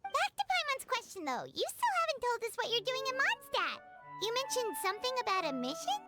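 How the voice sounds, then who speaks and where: A woman voicing a tiny, excitable, chatty childlike fairy companion in a video game, with a cheeky manner. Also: very high-pitched, a little fast, heavily acted. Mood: chatty, proud. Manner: refers to self in third person, cheeky. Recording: music and jingles under voice.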